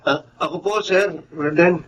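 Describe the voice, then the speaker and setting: A man acting in a Filipino radio drama as an earnest, loyal young driver who speaks respectfully and gently. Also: fairly high pitch, fairly even intonation, normal speed, slight background noise, close to an everyday voice. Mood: nervous, respectful. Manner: deferential, gentle, addressing superiors respectfully.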